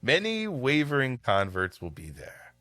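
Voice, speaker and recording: A man's voice: mid-range voice, wildly swooping pitch, unhurried, pushed and bright, no echo, soft background music. A man hosting a comedy podcast, reading an old story aloud expressively and breaking off for banter. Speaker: podcast host and story reader.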